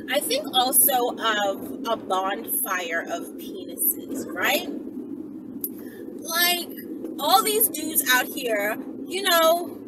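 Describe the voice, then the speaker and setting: Casting: female storytime vlogger, very lively swooping delivery, slangy and sweary, recorded at a distance in a noisy car. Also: medium pitch. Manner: animated, slangy and profane, with frequent tag questions. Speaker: vlogger telling stories to followers. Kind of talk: casual rambling storytime chat to camera. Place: inside a car while driving.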